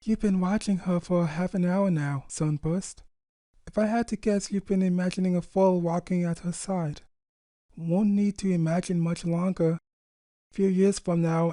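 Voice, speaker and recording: A man voicing a friendly female pony with a gently teasing manner in a story reading. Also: high voice, dry recording, pitched above the performer's own voice, lightly acted.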